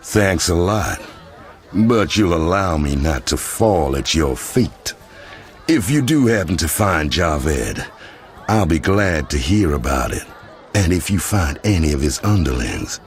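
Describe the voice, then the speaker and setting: An actor playing a menacing, sardonic crime boss in a video game, mocking and intimidating. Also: male voice, sits low, animated, a little slow. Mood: cold, sardonic.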